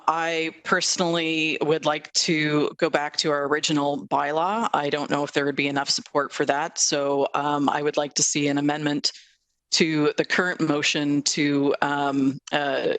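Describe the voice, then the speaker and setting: A man speaking as a city councillor, tentatively and haltingly proposing things in a meeting. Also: fairly high pitch, fairly bright tone, dry recording. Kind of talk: council meeting debate remarks.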